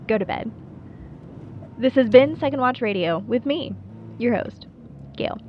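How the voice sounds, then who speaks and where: A woman's voice: fairly high pitch, lively intonation, a little slow, dull audio, slight background noise. A woman playing a wry, playful small-town late-night radio host in a scripted monologue, chatty and dryly self-deprecating. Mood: wry, breezy. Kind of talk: scripted radio show monologue, audio roleplay.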